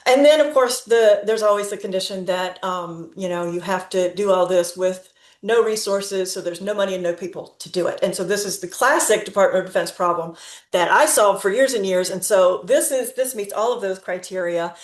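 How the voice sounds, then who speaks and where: A middle-aged woman giving a talk on a video call, her voice ringing in a roomy, echoey space. She speaks in measured stretches and often stops on fillers before picking the thought back up. Her pitch sits in an ordinary middle range. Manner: speaks slowly with frequent fillers and restarts. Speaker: presenter. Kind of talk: conference talk. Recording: roomy echo.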